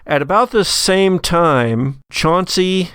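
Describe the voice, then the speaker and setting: A man narrating a tabletop horror game as game master, with deliberate, suspenseful pauses. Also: sits high, expressive, fairly bright tone, clean tone, no echo, studio quality.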